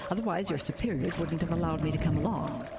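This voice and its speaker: An actress playing a prim, insistent historian in a radio drama, formal and pedantic, with storm ambience behind. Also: female voice, low voice, quick pace, heavy background noise. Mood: stern, insistent. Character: prim, earnest female historian on a crusade.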